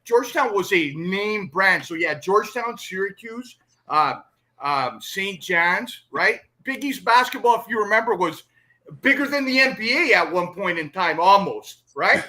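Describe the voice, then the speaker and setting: A man's voice: high voice, expressive, unhurried, sharp tone. A middle-aged man chatting casually as a sports show host, rambling like an enthusiastic fan and tagging his points with a questioning word. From Toronto, Canada. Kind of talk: casual sports interview chat.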